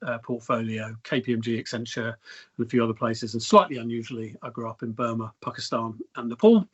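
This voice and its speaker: A middle-aged man, a company chief executive, answering interview questions in measured corporate phrasing full of fillers. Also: medium pitch, fairly bright tone, husky. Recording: dull lo-fi sound.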